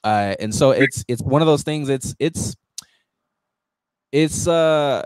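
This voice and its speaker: American man, mid-pitched, close dry sound. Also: slow, a little mellow, clear, resonant tone, no room sound, rough recording. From United States. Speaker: podcast host. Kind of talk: casual conversation.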